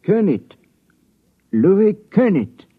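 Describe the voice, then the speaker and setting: A man playing a frail, shabby, meek old jobless man in an old-time radio drama, halting and hesitant as he repeats his words. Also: high voice, very animated, breathy edge, voice pushed up, exaggerated voice. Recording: thin, muffled vintage radio recording.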